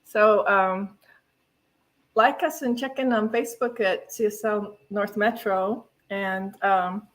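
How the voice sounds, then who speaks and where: A woman's voice: medium pitch, steady tone, takes it slowly. A woman minister hosting a spiritual service with casual announcements and chatty, giggly asides.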